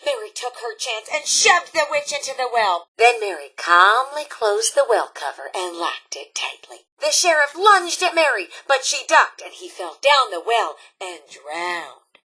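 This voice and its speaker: Cheery robot scout leader: high, very animated, prim and theatrical, for spooky campfire storytelling in a video game. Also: female voice, measured pace, slightly rough, exaggerated voice. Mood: spooky, dramatic.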